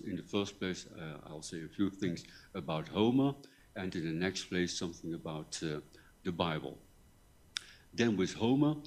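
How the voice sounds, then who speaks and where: An older man with a soft voice, giving a lecture in a careful, halting way with repeated words and pauses. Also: sits low. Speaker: visiting academic lecturer. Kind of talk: conference lecture in English. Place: university lecture hall. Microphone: table microphone, seated.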